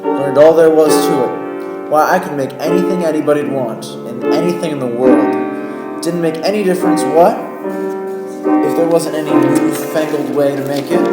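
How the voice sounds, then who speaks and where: A man's voice: on the high side, lightly acted. Folksy boastful Yankee storyteller played by a man. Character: practical, boastful Yankee factory superintendent telling his tale. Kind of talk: old-time radio play narration. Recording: roomy sound under loud piano music. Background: piano music.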